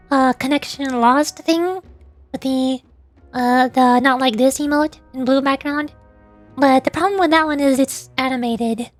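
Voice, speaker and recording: A chatty, playful woman talks to her viewers while she plays a game, in a high, bubbly voice. She speaks into a desk microphone, and faint music plays underneath. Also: no echo. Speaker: VTuber streamer. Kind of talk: casual live-stream gameplay chat.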